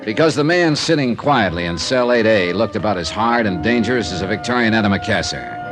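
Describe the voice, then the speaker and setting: An old radio crime drama recording with music. A man acts out a terse, world-weary American investigator. His low voice moves with lively swings in pitch as he probes, hard-nosed but fair. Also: brisk, lightly acted, low-quality recording. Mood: wry narrating.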